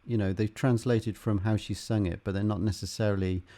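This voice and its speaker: A man's voice: lowish voice, steady tone, brisk. A man giving a casual tutorial as a music production instructor, with music behind him. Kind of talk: casual software tutorial narration. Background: guitar and vocal music track.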